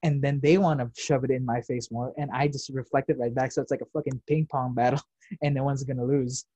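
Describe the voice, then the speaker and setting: A man's voice: medium pitch, steady tone, fairly quick, warm tone, dry recording. A young man chatting casually as a podcast host, rambling with lots of fillers and the odd swear word. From United States. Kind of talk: casual chat.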